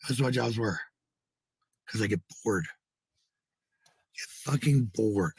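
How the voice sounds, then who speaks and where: Raspy as hell guy just rambling casually, kinda low voice, cusses a lot, dry close sound. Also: takes it slowly, darkish tone, no echo. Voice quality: raspy, gravelly. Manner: blunt, swears freely.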